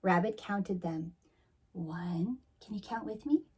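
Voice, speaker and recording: A woman storyteller reading a picture book aloud to children expressively, with deliberate phrasing. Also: medium pitch, animated, darkish tone, slightly rough.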